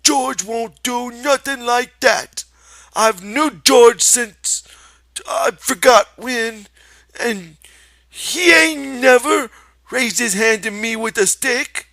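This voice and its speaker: A man voicing a big, childlike, simple-minded man who is pleading and excitable, whiny, with a rural American accent. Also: extremely high pitch, takes it slowly, vocal fry, dry recording, pitched above the performer's own voice, full character voice. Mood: defiant.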